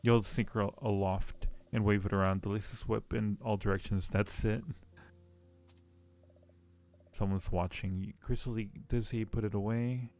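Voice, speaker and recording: Male game streamer, fairly deep with vocal fry, chatting casually and muttering through each move. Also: fairly even intonation, a little slow, a little mellow, faint music behind. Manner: thinks aloud while playing. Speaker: streamer playing a point-and-click game. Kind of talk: casual livestream chat with read-aloud game text.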